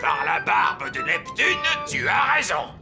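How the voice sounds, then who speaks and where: A man's voice: fairly high pitch, sing-song, measured pace, vocal fry, music in the background, exaggerated voice. A man voicing a hearty, boastful pirate sea captain in a cartoon, with theatrical seafaring exclamations. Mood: brisk, curious. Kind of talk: animated cartoon dialogue in French.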